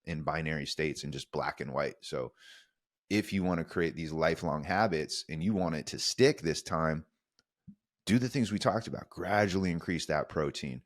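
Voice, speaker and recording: A man's voice: very deep voice, normal speed, dry recording, clean studio sound. A young man co-hosting a podcast, giving earnest coaching advice in a casual tone and calling listeners "dude". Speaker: podcast co-host.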